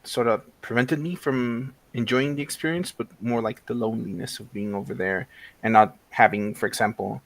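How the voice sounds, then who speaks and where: A man's voice: mid-range voice, fairly even intonation, moderate pace, dry recording, rough recording. A man in his thirties from Mexico, a guest in a casual interview, talking with many fillers and restarts. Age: 30s.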